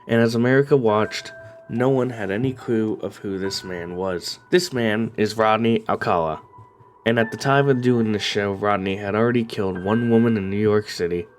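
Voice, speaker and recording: A man with a low voice narrates a true crime story in a somber, steady way. His voice is slightly raspy from a cold that is just starting. Soft music plays faintly underneath. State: coming down with a cold. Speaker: host.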